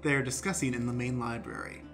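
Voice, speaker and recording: A man's voice: mid-range voice, fairly even intonation, quick pace, gravelly. A man acting as stage manager, narrating scene directions for an improvised comedy radio play. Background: music.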